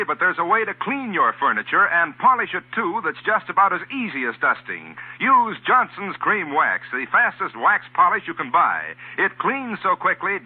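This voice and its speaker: Vintage radio pitchman, male, high husky voice, polished selling style, muffled broadcast. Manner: polished salesman delivery. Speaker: radio announcer. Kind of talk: 1940s scripted radio advertising narration.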